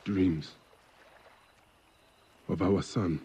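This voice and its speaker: An actor playing a brooding, grieving Egyptian warrior and husband in a cinematic video game. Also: male voice, low voice, fairly even intonation, drawn-out words, dark tone, a little hiss or hum. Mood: grave, tender.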